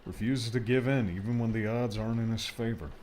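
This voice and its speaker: A man voicing a brisk, fatherly older man who teases and looks after others. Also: medium pitch, a little mellow, slight background noise, pitched above the performer's own voice, natural voice. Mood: wry. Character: older man, gruff caring guardian.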